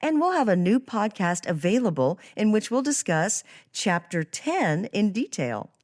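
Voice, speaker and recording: A woman's voice: medium pitch, very animated, measured pace, a little mellow, no echo, studio quality. A woman hosting a podcast interview, talking conversationally about a book and faith. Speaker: podcast host.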